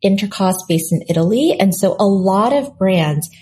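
A woman from South Korea, a skincare company founder, answering interview questions with enthusiastic, animated storytelling. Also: medium pitch, moderate pace, slight background noise.

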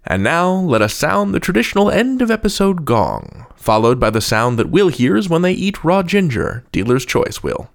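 A man's voice: on the high side, big pitch swings, moderate pace, vocal fry, few pauses. A man hosting a podcast from a script, playful, wry and a little theatrical. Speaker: podcast host.

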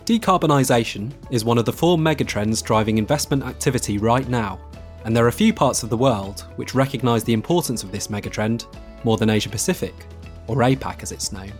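A man's voice: mid-range voice, brisk, faint music behind. A man presenting as a podcast host from a script, introducing material in a structured way.

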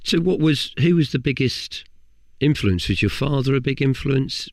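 A man's voice: medium pitch, expressive, creaky, dry recording, clean studio sound. A man hosting a podcast, talking conversationally with frequent restarts. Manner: conversational, halting with repeated words. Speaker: podcast host. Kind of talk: casual interview chat.

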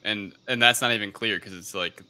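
A man with a fairly low but bright, forceful voice, recorded with faint background noise. He gives his opinions casually, as in a podcast chat among friends. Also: brisk. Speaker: podcast panelist.

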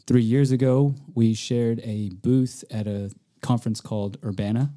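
A man's voice: mid-range voice, steady tone, moderate pace, mellow. A man answering interview questions about his work as a field rep, speaking in a friendly, lightly joking way. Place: living room of a log cabin.

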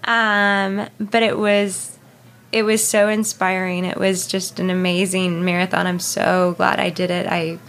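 A woman's voice: lowish voice, little pitch movement, unhurried, fairly bright tone. Woman, thirties, interview guest, casual, hesitant, fillers and restarts. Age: 30s. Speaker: interview guest, marathon runner.

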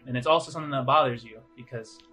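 A man runs a tabletop role-playing game as game master. He describes scenes in a loose, halting, conversational way, improvising as he goes, with music playing underneath. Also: mid-range voice, pushed and bright. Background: background music.

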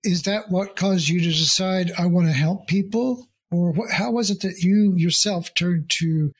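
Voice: medium pitch, moderate pace, slightly rough. An interview host asking questions eagerly, with stumbling restarts and repeated words. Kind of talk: conversational interview.

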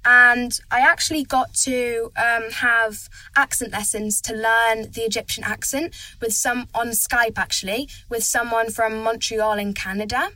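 A woman's voice: on the high side, steady tone, a little slow, hardly any pauses, sharp tone, no echo, rough recording. A teenage girl, a young actor, chatting eagerly as a guest in a casual interview, with lots of "like". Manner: chatty, eager, says "like" a lot.

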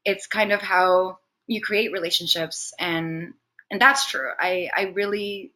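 A woman from Venezuela with Spanish as her native language, talking casually and expressively as an interview guest. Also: lowish voice. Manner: chatty, expressive, quick to laugh. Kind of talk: casual conversational interview, personal stories.

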